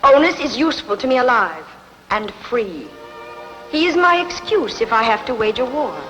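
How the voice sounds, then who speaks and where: A woman's voice: a little high, big pitch swings, moderate pace, fairly bright tone, soft background music, rough recording. A woman playing an imperious, ruthless queen in a film dub, with a commanding regal delivery. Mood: regal, assured. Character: imperious, ruthless queen pining for lost lover.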